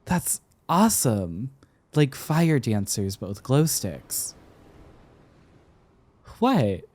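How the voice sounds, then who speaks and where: A young man playing an affectionate, teasing sweetheart smitten with an old friend, speaking tenderly and haltingly in an intimate roleplay ASMR style. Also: medium pitch, sing-song, darkish tone, no echo, exaggerated voice. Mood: amused, casual. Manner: tender, playful, halting with pauses and repeats.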